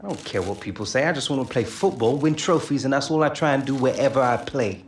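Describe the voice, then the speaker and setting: A male actor as a young star footballer in a game cutscene. He is confident and laid-back, chatting in a matey, natural way, with soft music underneath. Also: medium pitch, animated, fast talker, natural voice. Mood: self-assured. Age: young adult. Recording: music behind the voice.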